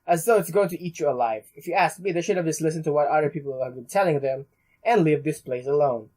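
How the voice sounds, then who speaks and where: A young man doing a let's play, haltingly reading game text aloud with casual commentary. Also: on the high side, a little mellow. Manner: halting, stumbles while reading.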